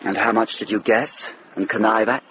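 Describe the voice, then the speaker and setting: A man's voice: on the low side, fairly even intonation, moderate pace, pushed and bright, a little hiss or hum, low-quality recording. An actor in a radio drama playing a stern but fair lord and lawman, speaking with terse authority. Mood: measured authority.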